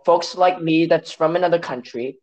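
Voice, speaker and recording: A man from Hong Kong whose first language is Cantonese, speaking as an interview guest in a measured, reflective way with some restarts. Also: a little high, lo-fi sound. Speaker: guest, science story editor.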